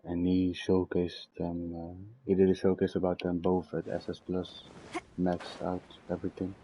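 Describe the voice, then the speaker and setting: Very deep, mellow male game streamer. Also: completely flat delivery, normal speed, slight background noise, low-quality recording. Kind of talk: casual gaming commentary.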